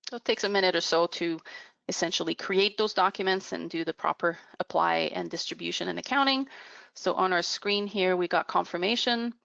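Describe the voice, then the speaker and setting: Woman webinar presenter, lowish voice with gravelly fry, unscripted explanatory delivery, dry and slightly muffled audio. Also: fairly quick, fairly bright tone, no room sound. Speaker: webinar presenter giving a software demo. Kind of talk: unscripted software demo narration.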